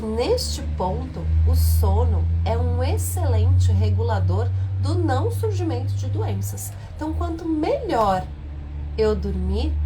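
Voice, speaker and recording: A woman with a hoarse, nasal voice from a cold, hosting a live stream and explaining a health topic in a softer, lower voice than usual. She poses rhetorical questions to her viewers. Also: low-quality recording.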